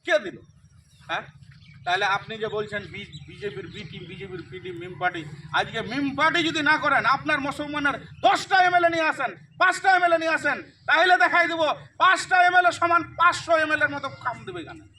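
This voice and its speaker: A man with an extremely high, piercingly bright voice delivers a political harangue. He is emphatic and argumentative, constantly throwing out rhetorical questions. He is picked up from a distance with faint noise around him. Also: lively intonation, fast talker. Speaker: local political party activist. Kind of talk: impassioned political talk.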